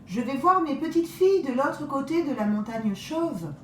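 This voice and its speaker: A woman's voice: high voice, expressive, moderate pace, far from the mic, slight background noise, voice pushed up. A woman doing a kind old grandmother. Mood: calm. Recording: roomy echo.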